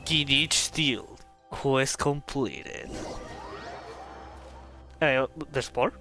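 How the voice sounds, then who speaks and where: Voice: mid-range voice, lively intonation, drawn-out words, music in the background. A game streamer chatting casually and reacting to the story in a jokey, playful way.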